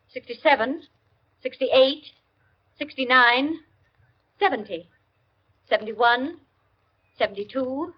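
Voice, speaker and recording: An actress playing a poised society hostess in a 1940s radio drama, speaking in a deliberate, commanding, theatrical way. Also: female voice, high-pitched, slightly rough, exaggerated voice. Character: poised society hostess, counting slowly aloud. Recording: dull, noisy vintage radio recording.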